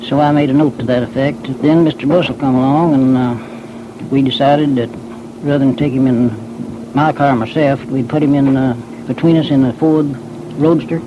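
Appalachian storekeeper on a noisy old recording. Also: male voice, mid-range voice, steady tone, moderate pace, muffled recording. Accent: Southern Appalachian. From rural Virginia, United States. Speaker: storekeeper and eyewitness. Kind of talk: plain recounting of events.

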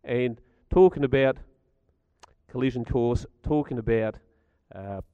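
A middle-aged man with a croaky voice, giving a chatty talk to a live audience and asking them questions. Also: mid-range voice, a little slow, no echo, phone-quality sound. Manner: chatty, stats-driven, asks the audience questions. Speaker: speaker at a community gathering.

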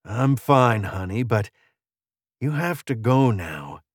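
Cold, rasping gunslinger returned from the grave for revenge, folksy frontier drawl, close dry storybook sound man performing. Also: mid-range voice, sing-song, takes it slowly, dry recording. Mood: tender. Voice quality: raspy. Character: rasping cold vengeful gunslinger back from the dead.

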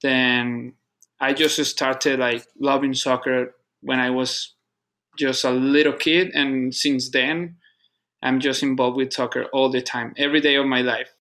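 A man from Colombia with a Colombian Spanish accent, a native Spanish speaker, answering as an interview guest in earnest, halting speech that repeats words. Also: mid-range voice, little pitch movement, bright, forceful tone. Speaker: guest, soccer club operations manager. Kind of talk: casual interview conversation.

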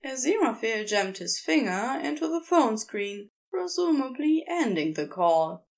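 A woman's voice: fairly high pitch, very animated, unhurried, vocal fry, a little mellow. A woman narrating scripted fiction expressively, as for an audiobook.

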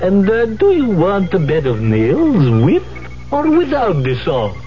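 A man playing a polite, gently questioning shop clerk in a comic radio ad. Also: high voice, huge pitch swings, unhurried, full character voice. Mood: polite, amused. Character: prissy, soft-spoken male shop clerk in a comic ad. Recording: dull old radio broadcast with music.

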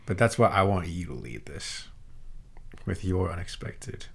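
A young man co-hosting a relaxed podcast. His voice is gravelly and husky, and he keeps it monotone and level. His delivery is dry and casual. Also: low-pitched, measured pace. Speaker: podcast co-host. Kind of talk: casual chat.

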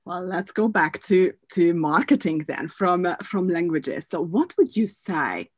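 Husky-voiced woman hosting a podcast. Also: lowish voice, lively intonation, measured pace, no room sound. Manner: thoughtful, questioning, with hesitations. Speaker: podcast host. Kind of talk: conversational podcast interview.

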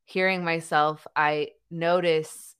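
A young adult woman with a stutter, a podcaster talking openly in a relaxed conversation. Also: deep voice, slow pace, clean tone. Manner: stutters, laughs between thoughts. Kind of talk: conversational interview chat.

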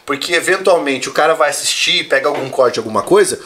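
A man's voice: a little high, expressive, quick pace, bright, forceful tone. A man hosting a podcast in a friendly way, reading out viewers' greetings and chatting with his guest. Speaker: podcast host. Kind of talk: casual chat.

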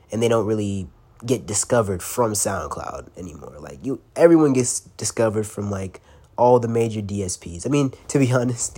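A man's voice: on the low side, a little mellow, husky. Stuffy-voiced young man hosting a podcast. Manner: loose and slangy, swearing, laughing now and then. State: congested, stuffy from a cold. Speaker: podcast host. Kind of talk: casual solo podcast talk.

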